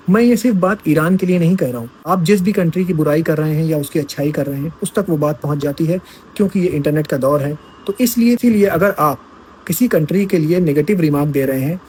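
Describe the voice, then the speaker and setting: A man's voice: on the high side, darkish tone, a little hiss or hum. A man giving casual news commentary, talking straight to his viewers as friends and asking rhetorical questions.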